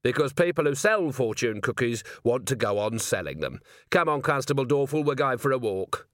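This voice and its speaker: A man voicing a brisk, gruffly authoritative police commander in an audiobook, commanding and dryly wry. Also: medium pitch, lively intonation, fairly bright tone, no room sound, clean studio sound, lightly acted. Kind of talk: audiobook character voice.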